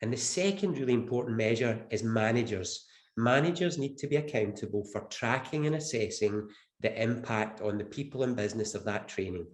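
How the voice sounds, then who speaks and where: A man's voice: mid-range voice, brisk. Scottish man with the flu, roomy sound. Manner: earnest, emphatic, repeats key phrases. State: has the flu. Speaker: webinar panelist. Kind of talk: webinar presentation.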